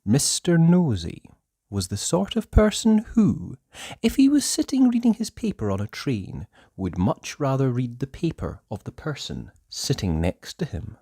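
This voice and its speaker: A storyteller reading a children's book aloud in a theatrical, deliberate way with drawn-out emphasis. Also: medium pitch, sing-song, dark tone, husky, no echo, clean studio sound. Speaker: storybook narrator. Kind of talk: expressive children's read-aloud narration.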